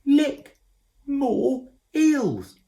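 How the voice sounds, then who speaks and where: A man's voice: mid-range voice, big pitch swings, normal speed, vocal fry, pitched above the performer's own voice. A man voicing a bold, cheeky inventor girl in a storybook reading, breezy and teasing. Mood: shouting. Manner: breezy, teasing, shouting from afar. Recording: roomy echo.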